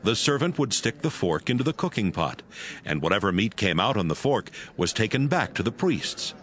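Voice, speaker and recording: Deep, expressive male narrator. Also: normal speed. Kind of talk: scripted narration of dramatized scripture.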